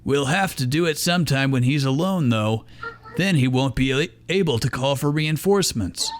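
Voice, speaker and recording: A man voicing a calm, practical young man who placates and reasons with his group in a story reading. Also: medium pitch, normal speed, pitched above the performer's own voice, natural voice. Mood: calm, placating.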